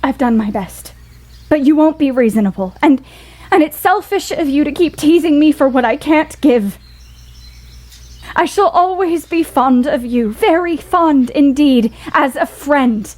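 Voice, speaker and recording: An actress plays a spirited, independent young woman with a high-pitched voice. She speaks earnestly and with emphasis, loving yet stubborn, in the manner of an audio play. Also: expressive, unhurried, a little mellow. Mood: firm, exasperated.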